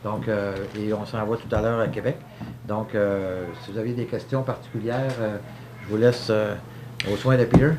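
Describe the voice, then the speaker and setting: A man's voice: lowish voice, fairly even intonation, moderate pace, noisy background. A man with a Quebec French accent introducing a guest, speaking loosely with many fillers. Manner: filler-heavy, loose phrasing.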